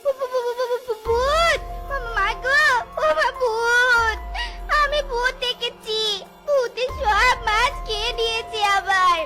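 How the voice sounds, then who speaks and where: A woman voicing an excitable, put-upon young jackal daughter-in-law in a cartoon, squeaky, whiny and dramatic. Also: takes it slowly, over music. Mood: terrified. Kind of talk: cartoon voice acting.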